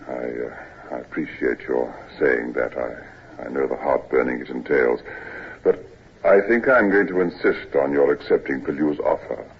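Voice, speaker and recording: An actor playing a weary, ailing older naval captain, stern but kindly, in a measured, hesitant old-time radio drama style. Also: male voice, extremely low pitch, husky, exaggerated voice. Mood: weary, resigned. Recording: dull old radio recording.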